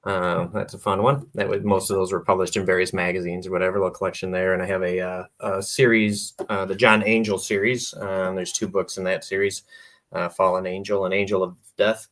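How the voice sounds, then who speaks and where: A man, a guest author, talking in a relaxed interview with many pauses and fillers and frequent laughs. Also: sits low. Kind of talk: casual interview conversation. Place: radio or podcast interview.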